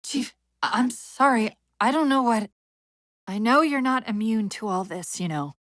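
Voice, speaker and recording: An actress playing a female military AI companion in a video game, urgent and witty but fraying under strain, with crisp tactical delivery and dry sarcasm. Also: on the high side, expressive, normal speed, fairly bright tone, no echo. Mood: anguished, pleading. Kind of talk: dramatic game voice acting.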